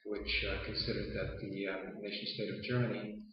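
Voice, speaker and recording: Brief: man, first language Yiddish, halting lecture delivery, low flat voice, far from the mic in a roomy space. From Canada. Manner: measured, halting with frequent uh pauses. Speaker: interviewee. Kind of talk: lecturing interview answer. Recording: roomy, distant, dull lo-fi sound.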